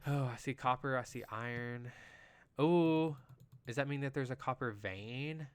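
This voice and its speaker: A man with a nasal voice, just getting over an illness, chatting excitably through casual live gameplay commentary as a game streamer. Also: medium pitch, measured pace, clean tone. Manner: chatty and excitable, lots of "like" and "you know". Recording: loud background noise.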